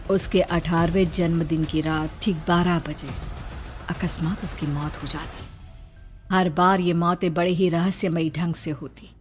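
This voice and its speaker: A woman's voice: on the low side, a little mellow. A woman narrating a scripted horror story in a dramatic storytelling style.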